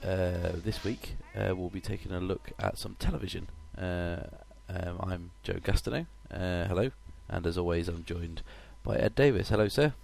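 Guy with a cold, husky gravelly low voice, hosting a chatty podcast, lots of uhs. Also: normal speed, hardly any pauses, darkish tone. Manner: hesitant, lots of uh and um fillers. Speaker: podcast host. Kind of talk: casual film podcast chat.

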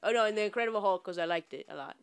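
A man's voice: high voice, racing, fairly bright tone, clean tone. A man chatting casually on a podcast in a laid-back, slightly hesitant way. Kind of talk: casual chat.

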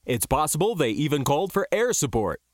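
A man voicing a calm, calculating male commander with a measured, authoritative and terse delivery. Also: fairly high pitch, dry recording, clean studio sound, pitched above the performer's own voice. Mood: assessing.

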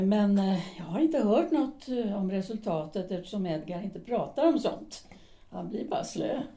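An actress playing a bitter middle-aged wife and former actress who speaks with cool, resigned contempt in an audio drama. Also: female voice, medium pitch, natural voice. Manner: cool, flat and resigned, turning cutting.

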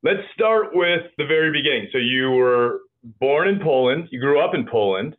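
A man's voice: medium pitch, lively intonation, moderate pace. A man hosting a podcast interview, asking friendly questions with a self-deprecating manner. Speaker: podcast host. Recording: tinny phone-like line.

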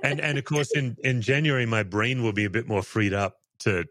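A man with a deep voice hosting an interview, talking in a rambling, self-deprecating way with many restarts. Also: fairly even intonation, fairly quick, fairly bright tone, no echo. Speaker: podcast host. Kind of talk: casual interview chat.

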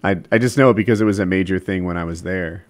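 A man's voice: sits low, fast talker. A man chatting casually on a video call, rambling with lots of fillers and swearing. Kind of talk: casual chat.

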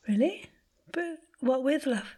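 Slow-spoken, high-voiced doting village mother. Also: expressive, warm tone. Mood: concerned. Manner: hesitant, fond, calls listener love. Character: humble, doting village mother of a grown son. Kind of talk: naturalistic audio drama acting.

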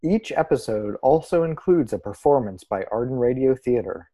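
Earnest local radio man played by an actor, soft mellow tone, formal delivery, deadpan comedy presenting. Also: medium pitch, normal speed, natural voice. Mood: earnest, announcing. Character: earnest, measured small-town arts radio co-host. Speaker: radio co-host. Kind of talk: scripted mock-serious radio presenting.

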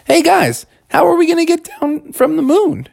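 A man voicing excitable, curious schoolkids in a children's story, high-pitched, boyish and animated. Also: normal speed, gravelly, full character voice. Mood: excited, puzzled. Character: group of excitable, curious schoolkids stranded on the moon.

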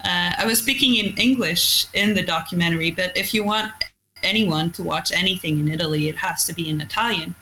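A woman's voice: lowish voice, fairly quick, pushed and bright, slight background noise, rough recording. A Norwegian woman, a coastal fisherman, speaking English as a second language, telling stories candidly in a casual interview. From Norway. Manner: candid, storytelling, blunt with mild cursing.

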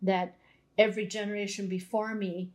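A woman's voice: medium pitch, little pitch movement, normal speed, darkish tone. Woman doctor explaining food as medicine. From Canada. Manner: conversational, explains with everyday examples. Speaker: naturopathic doctor guest. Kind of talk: explanatory interview talk.

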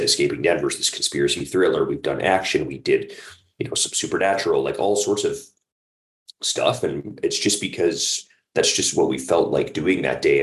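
A man with an extremely low voice chats casually as a podcast guest. He is easygoing and talkative, and the sound is noticeably roomy and echoing. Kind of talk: casual interview chat.

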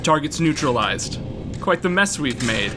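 A flippant, talkative knight pilot in a sci-fi audio drama. His voice sits a little high and has a wry, cocky edge. Dramatic music plays behind him. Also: big pitch swings, unhurried. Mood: cocky, joking.

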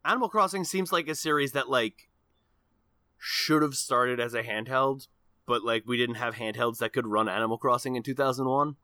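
A man's voice: mid-range voice, moderate pace, bright, forceful tone, dry recording. A man co-hosting a gaming podcast, talking in a casual, conversational way. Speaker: podcast co-host.

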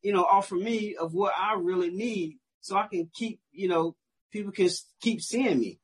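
A man's voice: high-pitched, normal speed, slightly rough. A man co-hosting a live online business panel, explaining with homely sayings. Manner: folksy, explains with proverbs. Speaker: co-host.

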